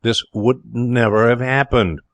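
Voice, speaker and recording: Casting: male reader as gruff, belligerent storybook working man, blunt and bossy, dry recording. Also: on the low side, animated, normal speed, a bit bright, no echo. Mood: scornful.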